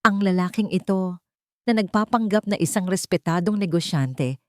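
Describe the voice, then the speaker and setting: A cold, defiant wronged wife voiced by a woman narrator makes emphatic accusations in a story. The voice is fairly low and recorded dry. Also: moderate pace, no echo, natural voice. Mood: accusing.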